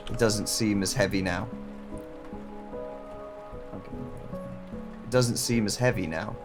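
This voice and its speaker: A man playing a reflective amnesiac wanderer in an audio drama, narrating in a measured, introspective way over rain. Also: fairly low pitch. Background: rain sound effects and music.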